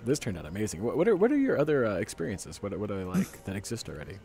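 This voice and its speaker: A man hosting a casual interview, asking questions in an informal, slightly halting way. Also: on the low side, wildly swooping pitch, warm tone, faint music behind. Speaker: host interviewer.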